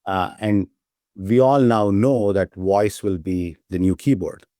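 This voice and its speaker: A man with a low, mellow voice talks eagerly as a company founder being interviewed. He often ends his points with a quick "right?". The sound is close and dry. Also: no echo. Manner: enthusiastic, repeats "right?" as a tag. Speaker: startup CEO, guest. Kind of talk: casual interview talk.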